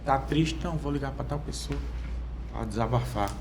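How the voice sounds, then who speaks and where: Halting Brazilian man, gravelly voice. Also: mid-range voice, background noise. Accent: Brazilian Portuguese. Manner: halting, many fillers and restarts. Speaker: podcast guest. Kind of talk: personal testimony in conversation. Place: podcast studio.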